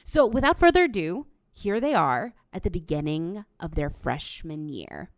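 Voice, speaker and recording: A young woman hosting a student podcast, bubbly and excitable, teasing her guests playfully. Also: on the low side, moderate pace, no echo, clean studio sound. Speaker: podcast host. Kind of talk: casual group chat.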